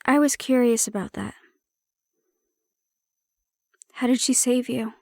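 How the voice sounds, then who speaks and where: A woman acts out a female handler in an audio roleplay, prickly on the surface and caring underneath. Her voice sits a little high and is recorded dry and close. She talks one-to-one in a measured, probing manner with a dry edge. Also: steady tone, creaky, a little mellow, no echo. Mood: curious, probing. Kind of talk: intimate audio roleplay acting, one-sided conversation.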